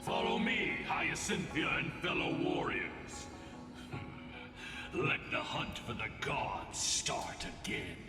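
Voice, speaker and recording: A man playing an ancient, proud warrior guide in a video game, slow and solemn, breathy and gravelly. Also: mid-range voice, big pitch swings, far from the mic, full character voice. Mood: proud, rousing. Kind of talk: dramatic video game voice acting. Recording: echoey hall reverb over game music.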